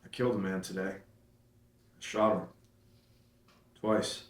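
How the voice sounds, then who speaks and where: A man's voice: sits low, steady tone, measured pace. Ethan, an actor reading a numbed haunted man. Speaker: actor in a table read. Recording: distant echoey pickup.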